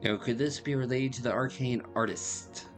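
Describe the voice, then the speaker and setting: A man's voice: mid-range voice, fairly even intonation, normal speed. A man doing casual game playthrough commentary, hamming up dialogue in a joking, slangy way over music. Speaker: gaming streamer.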